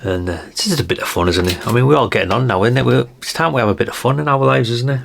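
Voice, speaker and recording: A man's voice: mid-range voice, fast talker, vocal fry, fairly bright tone, slight background noise. A middle-aged man, rambling casually through a show-and-tell vlog in a chatty, down-to-earth way. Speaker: hobby radio vlogger.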